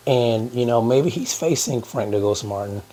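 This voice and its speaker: A hoarse man hosting a boxing news channel, talking casually to his viewers with lots of fillers. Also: medium pitch, moderate pace, dark tone, low-quality recording. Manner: conversational, many "uh" fillers, addresses "guys". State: hoarse from a cold. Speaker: boxing YouTube host.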